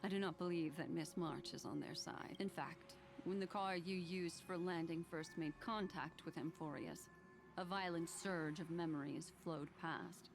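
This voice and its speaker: An actress playing a composed, scholarly, enigmatic memory keeper in a video game, speaking in a measured, formal way. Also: female voice, on the low side, steady tone, gravelly, over music. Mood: grave, explaining. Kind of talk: scripted video game dialogue.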